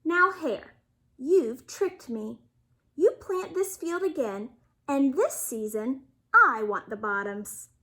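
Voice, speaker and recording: A woman's voice: sits high, expressive, sharp tone, exaggerated voice. A woman voicing a lazy, easily fooled male bear in a children's storybook, drowsy and grumbling. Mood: indignant. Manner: drowsy, grumbling, slow-witted.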